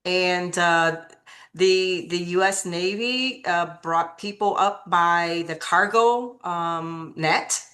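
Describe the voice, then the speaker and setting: A woman in her fifties from Vietnam with a Vietnamese accent, telling her life story as an interview guest, halting with many fillers and restarts. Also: on the low side, fairly bright tone. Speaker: martial arts master guest.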